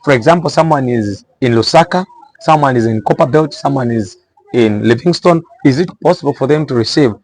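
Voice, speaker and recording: A man from Zambia with a Zambian accent and a mid-pitched voice talks informally to his viewers. He gives practical business advice as an explainer, often repeating a phrase to drive it home. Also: brisk, darkish tone. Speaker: vlogger giving small business advice.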